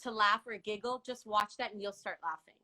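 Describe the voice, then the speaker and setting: Bright-voiced chatty woman, raised in Iran. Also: medium pitch, a little fast. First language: Farsi. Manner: giggly, chatty, restarts words often. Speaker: guest. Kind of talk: casual live-stream chat.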